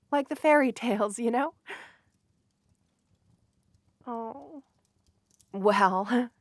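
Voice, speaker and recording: A high, unhurried young woman's voice, acted by a woman for a romantic ASMR roleplay. She is sweet, shy and earnest, gently flustered and prone to hesitate mid-phrase. The recording is dry and intimate, with no room sound. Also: dry recording. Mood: hopeful, tender.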